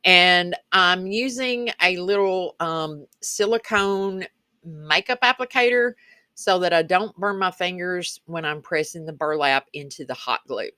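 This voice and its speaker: A woman with a country Kentucky accent talks through a craft project in a voice-over. Her voice is bright and forward, sitting a little low, and the sound is close and dry. She comes across as folksy and chatty, like a host speaking to regular viewers. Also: a little slow, no room sound. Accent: rural Kentucky Southern. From Kentucky, rural United States. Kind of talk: casual craft tutorial voice-over.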